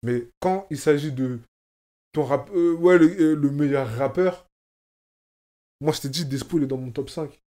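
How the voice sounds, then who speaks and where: A man's voice: fairly high pitch, moderate pace, pauses often, warm tone. A man from France, a rapper, talking in a casual conversation and stressing points by repeating phrases. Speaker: rapper, guest. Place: lives in Oslo.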